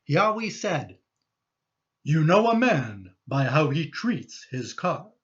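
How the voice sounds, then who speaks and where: An actor playing an exasperated, judgmental adult son in a radio play, questioning and insistent. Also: male voice, a little high, sing-song, takes it slowly, husky, muffled recording, full character voice. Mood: disapproving.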